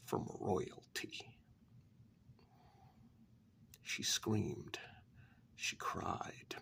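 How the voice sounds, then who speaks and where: A man playing a formal, compassionate asylum staffer in a soft-spoken ASMR story, speaking in a breathy, creaky half-whisper with measured, deliberate pauses. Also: lowish voice, exaggerated voice. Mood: pitying.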